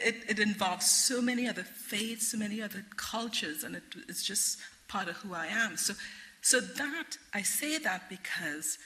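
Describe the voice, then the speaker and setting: A woman's voice: mid-range voice, sharp tone, rough recording. A middle-aged woman with a Trinidadian accent, speaking earnestly as a guest at a public forum and answering questions. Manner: earnest, repeats and restarts phrases. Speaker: guest speaker, interfaith director.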